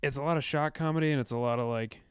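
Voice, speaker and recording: An American man with a clear, smooth voice, bantering as a podcast co-host. He is irreverent and swears freely, in a casual comedic style, recorded close and dry. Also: medium pitch, racing, no echo. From New England, United States.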